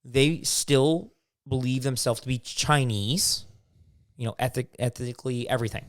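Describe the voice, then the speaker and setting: A man's voice: mid-range voice, moderate pace. A man co-hosting a casual talk podcast, giving firm opinions and explaining with everyday examples. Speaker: podcast co-host. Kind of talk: casual chat.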